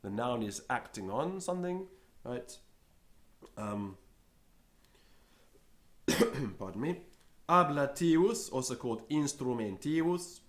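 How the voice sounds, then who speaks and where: A man's voice: medium pitch, animated, slow, pauses often. A man teaching a Latin lesson, who says each Latin word, repeats it and then explains it in English. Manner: acts out little scenes in a raised voice. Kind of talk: slow spoken language lesson.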